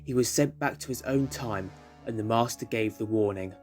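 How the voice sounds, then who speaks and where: Man, medium pitch, scripted storytelling narration, audio drama, music underneath. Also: moderate pace.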